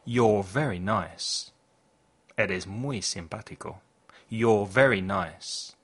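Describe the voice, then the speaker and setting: A man hosting a language podcast, reading a scripted lesson and saying model phrases slowly and clearly for listeners to repeat. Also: lowish voice, a bit bright. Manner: slow, carefully articulated model phrases for repetition. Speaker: English teacher, podcast host.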